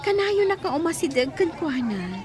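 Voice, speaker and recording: A woman's voice: high-pitched, sing-song, moderate pace, darkish tone, some chatter behind. A woman playing a spirited, feisty young adult daughter in a radio drama, with an Ilocano accent. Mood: playful.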